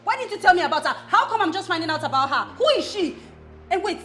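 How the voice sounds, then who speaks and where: A woman's voice: high voice, very animated, a little fast. A woman acting an aggrieved, fed-up wife in a melodramatic film, with a Nigerian accent and emphatic, repetitive questioning. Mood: indignant questioning. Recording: roomy echo, music underneath.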